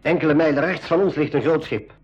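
An actor playing a cautious, observant navigator and lookout in a school radio adventure, speaking with deliberate care. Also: male voice, a little high, breathy edge. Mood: watchful. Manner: careful, deliberate, watchful. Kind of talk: 1980s school radio play acting. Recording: dull 1980s radio drama recording.

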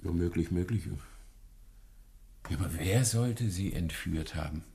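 A man's voice: low-pitched, a little slow, creaky, dark tone. An actor playing a courteous older professor in a radio play, scholarly and slightly evasive, often repeating his words. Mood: wary, evasive. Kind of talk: German radio play acting.

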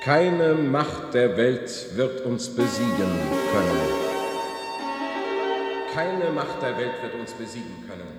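An actor playing the formal, declamatory announcer of a dystopian regime's propaganda broadcast in a radio play. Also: male voice, medium pitch, expressive, slow pace, over loud music. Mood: menacing, proclaiming. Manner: formal, declamatory, slogan-repeating. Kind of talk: scripted public proclamation.

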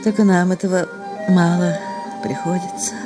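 A woman's voice: on the low side, fairly even intonation, normal speed, close to an everyday voice. A woman playing a plaintive village sexton's wife, music behind. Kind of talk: Russian radio play of a classic story.

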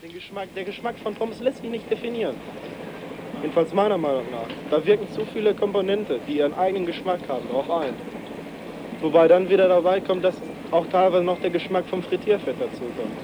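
Man, higher register, everyday talker with a pedantic turn of phrase, recorded on noisy old cassette. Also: lively intonation, brisk. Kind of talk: casual reflective opinion in an audio collage.

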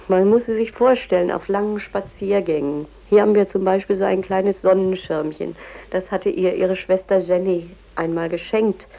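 A woman playing a cultured, devoted museum guide in a radio play, explaining things in a measured, reverent manner. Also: medium pitch, creaky, mellow, lightly acted. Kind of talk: explanatory guided tour with verse recitation.

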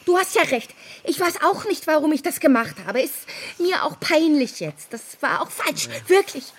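An actress in a radio play voicing an anxious woman who reasons and pleads in a halting, insistent way. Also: sits high, lively intonation. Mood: conceding, insistent. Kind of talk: radio drama acting.

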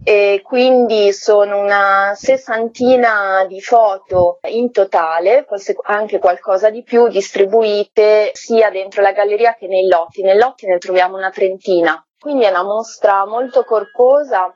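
A woman's voice: mid-range voice, a little slow, few pauses, darkish tone, no echo. A woman curator explaining an exhibition as a guest in a radio interview. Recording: dry, dull lo-fi call.

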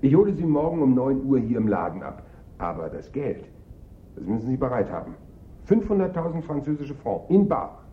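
An actor playing a nervous, shifty small-time Italian art thief in a German radio drama, halting, guarded and stubborn. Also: male voice, big pitch swings, a little hiss or hum, natural voice. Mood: wary, warning. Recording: dull, echoey, rumbling radio recording.

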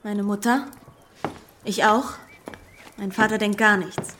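A woman's voice: mid-range voice, fairly even intonation, slow, a bit bright, slight background noise. A woman playing an outspoken, argumentative teenage girl in a radio drama, pert and probing. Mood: defiant, questioning. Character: outspoken, defiant teenage girl from next door.

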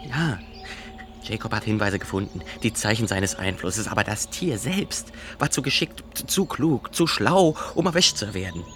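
An actor playing an earnest, doubting official inspector in a radio play, speaking in a formal, wordy, insistent way. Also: male voice, lowish voice, expressive, moderate pace, fairly bright tone, soft background music. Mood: uneasy, insistent. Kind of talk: German radio play acting.

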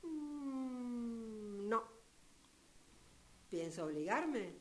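A woman acting in a radio drama workshop as a defiant but easily frightened character who speaks haltingly and repeats her words. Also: a little high, expressive. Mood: scornful, defiant.

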